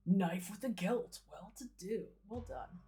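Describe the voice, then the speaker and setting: A woman co-hosting a game livestream, casually commenting and reading choices aloud in a wry, expressive way. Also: mid-range voice, unhurried, faint music behind. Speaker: livestream co-host playing a story game.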